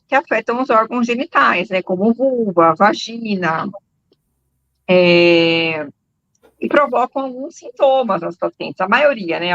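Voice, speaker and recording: A woman's voice: a little high, fairly bright tone, no echo, lo-fi sound. A woman gynecologist from São Paulo state, explaining a medical topic and tagging phrases with "né", heard over a video call. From São Paulo state, Brazil. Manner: explanatory, frequent "né" tags. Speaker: gynecologist guest.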